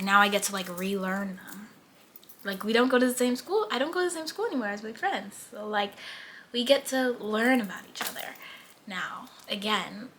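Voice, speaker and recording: Bright-voiced chatty eighteen-year-old woman. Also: mid-range voice, slight background noise. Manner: chatty, many "like" fillers, drifts between lively and hushed. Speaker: vlogger. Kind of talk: casual chat.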